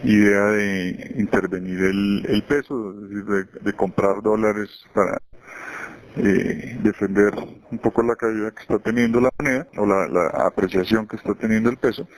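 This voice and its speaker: A man's voice: lowish voice, steady tone, moderate pace, rough recording. A man giving an unscripted, explanatory market briefing as a financial analyst on a conference call, often repeating words as he phrases his points.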